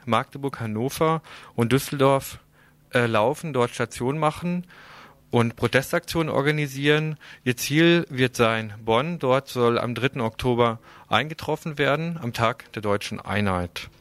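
A man's voice: medium pitch, a bit bright, no echo. A male radio presenter with a mid-range voice, reading news and interviewing live. His delivery is informal and a little hesitant.

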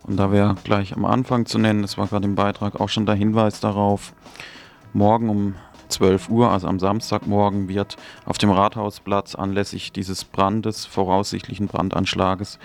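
Low, gravelly man reading event notices. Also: level tone, moderate pace. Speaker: radio presenter. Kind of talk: semi-scripted event announcements on local radio.

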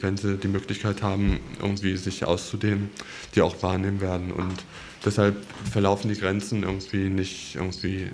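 Man, radio music host, low pitch, flat intonation, halting explanations, unscripted discussion. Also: a little hiss or hum.

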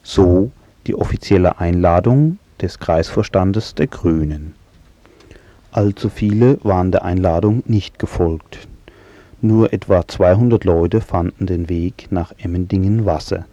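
A man's voice: sits low, measured pace, warm tone, no echo, dull audio. A man reading a scripted radio report as a reporter. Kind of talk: scripted news report narration.

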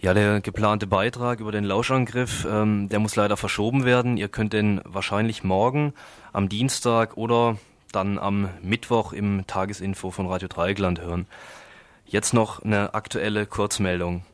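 A man's voice: on the low side, steady tone, normal speed, dry recording. A man presenting radio news in an informal way, reading announcements and short reports with some stumbles over words.

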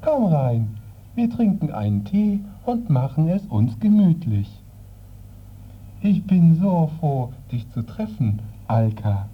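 An actress playing a lovestruck, clingy, eerily gentle character in a comic radio play, cooing and insistent. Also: female voice, low voice, big pitch swings, slow, slightly rough, heavily acted. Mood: cooing, welcoming. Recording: very muffled, phone-like radio recording.

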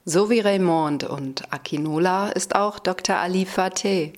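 A woman narrating a radio feature in a measured, composed scripted reading. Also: lowish voice. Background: music.

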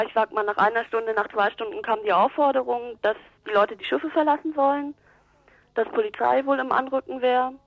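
High-voiced woman, muffled eyewitness interview. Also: no room sound. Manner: hesitant, loosely phrased. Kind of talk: spontaneous eyewitness account. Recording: dull telephone-like radio clip.

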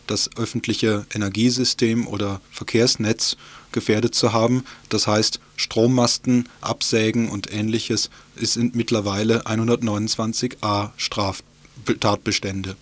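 A man presenting radio news from a script in a sober, matter-of-fact way. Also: lowish voice.

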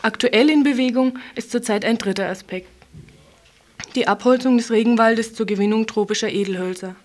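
A woman's voice: on the high side, brisk. A woman radio reporter narrating a scripted news report.

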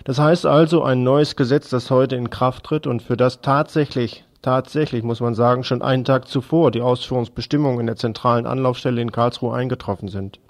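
A man's voice: mid-range voice, fairly even intonation, brisk, few pauses. A man presenting a radio news report, mixing reading with his own commentary.

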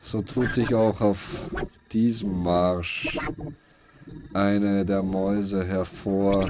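A man reading scripted literary narration for a post-apocalyptic radio play, in deliberate, fragmented phrases that repeat words. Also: low-pitched, flat delivery, background noise.

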